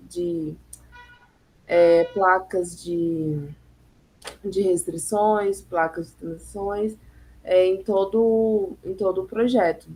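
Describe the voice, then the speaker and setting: A young woman presents her part of a class project. She hesitates and fills the gaps as she explains. Her voice is mellow, with a faint hiss in the background. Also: on the low side, rough recording. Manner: hesitant, many fillers. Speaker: architecture student.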